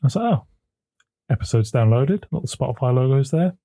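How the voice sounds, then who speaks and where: A man co-hosting a podcast in casual chat, with a smooth voice. He chuckles often and repeats words as he gets going, speaking into a desk microphone at his home computer. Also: mid-range voice, lively intonation, fairly quick, mellow. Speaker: podcast co-host.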